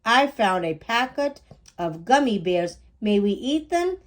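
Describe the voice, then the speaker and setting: A keen, cheeky little girl from a children's storybook, voiced by a woman. Her voice sits a little high and she speaks without rushing. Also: very animated. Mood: hopeful.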